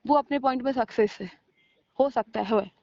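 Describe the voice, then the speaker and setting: A woman's voice: fairly high pitch, normal speed, a little mellow, no echo. A woman arguing heatedly with a partner on a recorded phone call, scolding and sarcastic, slipping English words into her talk. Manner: mixes in English words and phrases.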